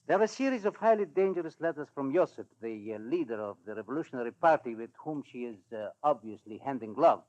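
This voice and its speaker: An actor playing a stern, smugly courteous Balkan government official in 1940s radio drama, speaking formally and with emphasis. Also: male voice, mid-range voice, animated, a little mellow, natural voice. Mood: smoothly formal. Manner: formal, emphatic, hesitant pauses. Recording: dull old radio recording.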